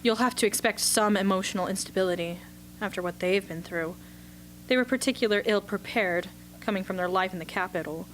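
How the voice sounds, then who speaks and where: A woman playing a calm, matter-of-fact medic in a radio drama, giving measured, clinical reports. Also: mid-range voice, close to an everyday voice, poor audio. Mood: clinical, reassuring. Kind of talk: amateur radio drama dialogue. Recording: faint hum behind the voice.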